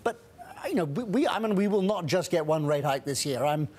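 A middle-aged man speaking as a guest strategist in a TV studio interview on a lapel mic, brisk, with frequent restarts and fillers. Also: a little high, animated, a little mellow.

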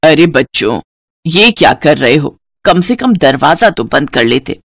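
Doting older mother-in-law played by a woman, lowered pitch, motherly, affectionate, cartoon story. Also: very animated, vocal fry, heavily acted. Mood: mock scolding. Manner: affectionate, unhurried motherly talk. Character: kindly, doting older mother-in-law welcoming her family.

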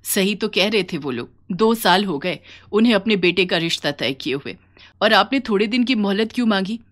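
A woman plays a village wife in a cartoon tale. She is worried and reproachful, asking pointed questions in a mid-pitched voice. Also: animated, moderate pace, lightly acted.

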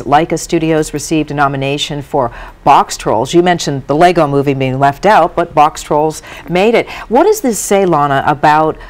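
A woman hosting a live TV news show, interviewing guests in a studio. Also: low-pitched. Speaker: TV news host.

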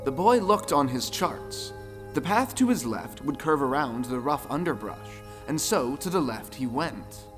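A man narrating a folktale audio play with dramatic, expressive storytelling. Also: medium pitch, measured pace, natural voice. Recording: long reverb, music underneath.

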